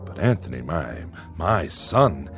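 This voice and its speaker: An actor playing an anxious middle-aged small-town father in a radio drama, folksy and halting, placating his feared son with forced cheer. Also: rumbling low, sing-song, creaky, music in the background, full character voice. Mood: bitter, despairing. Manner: folksy, rustic grammar.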